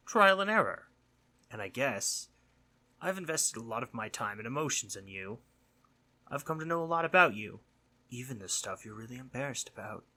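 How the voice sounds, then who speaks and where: Sleep demon , played by a man: fairly low, raspy, forceful edge; mischievous, earnestly concerned, casual teasing delivery for roleplay audio. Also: full character voice. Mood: fond, insistent. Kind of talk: scripted roleplay audio monologue.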